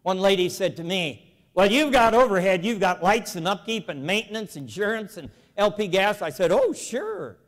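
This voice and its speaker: A man in his seventies giving a lively, folksy, emphatic storytelling speech to a church convention, walking the floor with a lapel mic. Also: high-pitched, moderate pace, in a large hall. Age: 70s. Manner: animated, folksy, emphatic repetition. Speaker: convention speaker. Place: large convention hall.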